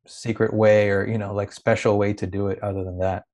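A man's voice: fairly low pitch, little pitch movement, a little fast, a little mellow. A man who grew up in India, now a young adult, speaking in a thoughtful, searching way as an interview guest, often restarting his sentences. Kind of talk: reflective conversational interview.

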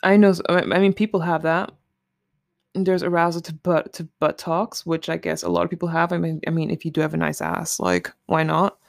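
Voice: medium pitch, little pitch movement, a little fast, vocal fry, no room sound, clean studio sound. A host chatting casually and candidly alone, rambling informally with some swearing. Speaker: solo podcast host. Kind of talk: casual rambling chat, commenting on a list.

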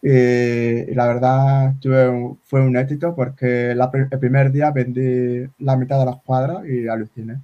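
A man in his forties whose first language is sign language, talking in a casual interview with many halting restarts. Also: mid-range voice, flat delivery, warm tone. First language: Spanish Sign Language. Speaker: guest. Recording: slightly dull lo-fi sound.

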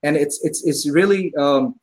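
Husky-voiced male restaurant owner, guest on an interview podcast, upbeat storyteller. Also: a little high, a little slow, a little mellow. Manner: enthusiastic storyteller, acts out customers' voices. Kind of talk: casual interview chat.

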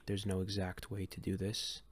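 A man leading a guided meditation in a gentle, calm, unhurried way with long pauses between phrases. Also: low-pitched. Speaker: meditation guide and series host.

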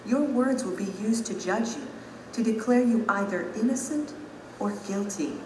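A woman's voice: mid-range voice, lightly acted. Woman as wise enthroned guide, echoing hall. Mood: grave. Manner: grave, deliberate, instructive. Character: calm, wise enthroned woman guiding a newcomer. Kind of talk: dramatized audio allegory. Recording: reverberant hall with noisy ambience.

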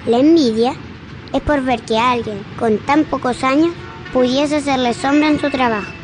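A woman's voice: sits high, normal speed, over music, close to an everyday voice, poor audio. A woman playing an earnest, unafraid blind little girl in a radio drama, with formal, assertive delivery. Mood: accusing, solemn.

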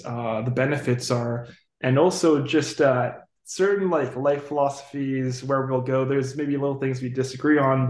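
A man's voice: mid-range voice, echoey room. A man hosting a podcast, introducing his guest and asking questions in a loose, friendly way. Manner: friendly, loose, with fillers and restarts. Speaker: podcast host. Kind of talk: casual podcast interviewing.

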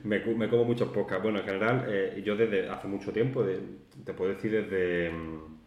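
A man's voice: low-pitched, steady tone, normal speed, dull audio. A man, co-hosting a casual podcast in a jokey, self-mocking way, often restarting his sentences. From Málaga, Spain. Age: 30s. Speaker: podcast co-host. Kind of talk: casual chat. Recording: echoey room.

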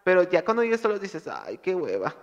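A man's voice: high-pitched, wildly swooping pitch, fairly quick, highs cut off. A man with a Monterrey Mexican Spanish accent, chatting casually on a podcast and jumping in with questions. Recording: long room reverb.

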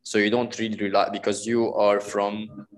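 Male interview host, lowish voice, hesitant delivery full of uhs and restarts. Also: flat delivery, soft background music, rough recording. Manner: halting, many filler uhs and restarts. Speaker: podcast host. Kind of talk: casual interview. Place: Bali.

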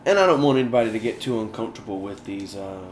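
A man's voice: on the low side, expressive, fast. Casual male host in an echoey room. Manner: casual, affirming, many backchannels. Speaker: podcast host. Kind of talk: podcast interview conversation. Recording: echoey room with faint noise.

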